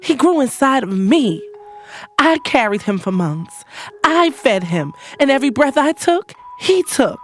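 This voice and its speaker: A woman's voice: high voice, very animated, unhurried, soft background music. A woman playing a hurt, scorned lover in an audio drama, telling it in first person with emphatic, sarcastic delivery. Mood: anguished. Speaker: narrator and lead character in an audio drama.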